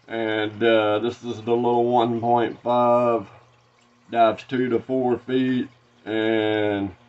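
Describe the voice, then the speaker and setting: A Southern man from Arkansas in his thirties, unboxing fishing lures at a desk in a small tackle-packed room. He drawls along very slowly, stopping often for "uh" and starting over. The webcam sound is a little dull and lo-fi. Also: medium pitch. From Arkansas, USA. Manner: slow, rambling, many "uh" fillers and restarts. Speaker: fishing channel host. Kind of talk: casual unboxing vlog.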